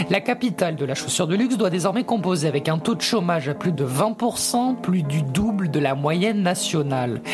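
A reporter reading a scripted radio documentary narration. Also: medium pitch.

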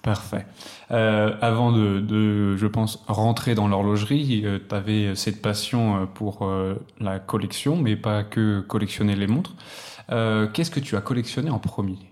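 A man hosting a podcast interview, friendly and conversational, often pausing with hesitant fillers. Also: fairly low pitch, steady tone, a little mellow.

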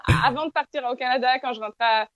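A woman's voice: high-pitched, racing. A young woman from France, chatting casually as an interview guest from her apartment, with many fillers and restarts.

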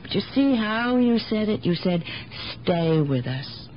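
Actress playing a lively, philosophical elderly aunt, old radio sound. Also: female voice, mid-range voice, natural voice. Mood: fond, firm. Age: older. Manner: gently contrary, deliberate, speaks in riddles and aphorisms. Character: sharp, philosophical, independent elderly aunt, amateur sleuth. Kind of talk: 1970s radio drama acting. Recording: dull, noisy 1970s radio broadcast recording.

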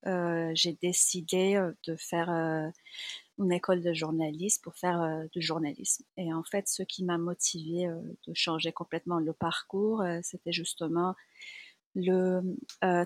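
A woman's voice: on the low side, fairly bright tone, dry recording. A middle-aged woman from Iran with an Iranian accent, speaking hesitantly as a journalist giving a reflective interview and searching for her words. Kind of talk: reflective spoken interview testimony.

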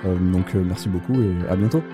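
A man hosting a radio show, relaxed, giving brief prompts and thanking his guests. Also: deep voice, quick pace, loud music behind the voice. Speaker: radio host. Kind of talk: casual radio interview. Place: radio studio.

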